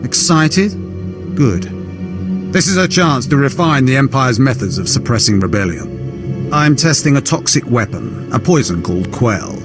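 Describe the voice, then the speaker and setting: A man's voice: medium pitch, expressive, unhurried, music in the background, natural voice. A man playing a cold, sadistic Sith lord, smug and menacing, in a video game cutscene. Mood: gloating cruelty.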